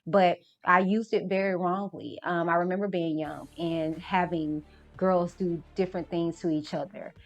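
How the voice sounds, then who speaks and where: A woman speaking candidly and reflectively in a documentary interview. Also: fairly low pitch. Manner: candid, reflective, many fillers.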